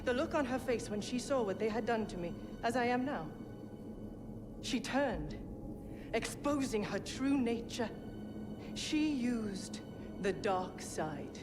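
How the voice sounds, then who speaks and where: A fallen warrior turned villainess in a sci-fi game, bitter and manipulative. Her voice is fairly high and very unhurried, taunting over loud music. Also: lively intonation. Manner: taunting, deliberate.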